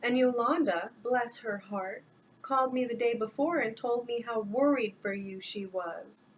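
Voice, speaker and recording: An overbearing, churchgoing mother who scolds her grown son in a storybook reading, voiced by a woman. Her voice sits slightly high, with faint hiss in the background. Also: measured pace, natural voice, rough recording. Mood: hurt.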